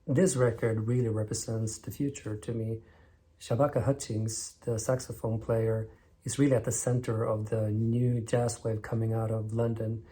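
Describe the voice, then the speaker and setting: A man from northern Sweden, in his thirties, hosting a vinyl record channel in enthusiastic, measured scripted narration. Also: fairly low pitch, little pitch movement, mellow.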